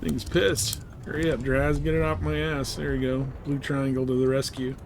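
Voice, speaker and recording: A man's voice: mid-range voice, flat delivery, moderate pace, gravelly. A man giving casual live commentary as he plays a game, muttering reactions to the action. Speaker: game streamer. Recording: faint background noise.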